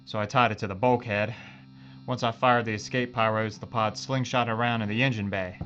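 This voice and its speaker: An actor playing a loyal, joking spaceship engineer in a science-fiction audio drama, with a casual, folksy manner. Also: male voice, on the low side, fairly even intonation, brisk, fairly bright tone, close to an everyday voice. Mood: matter-of-fact. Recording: dull lo-fi sound, faint music.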